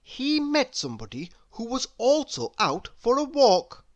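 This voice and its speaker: A woman reading a children's story aloud as an expressive narrator who speaks directly to young listeners. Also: fairly high pitch, measured pace, lo-fi sound. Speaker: storybook reader.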